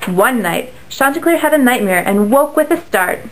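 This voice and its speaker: A woman's voice: a little high, lively intonation, moderate pace, a little hiss or hum, low-quality recording. A young woman reading storybook narration aloud for a class puppet show.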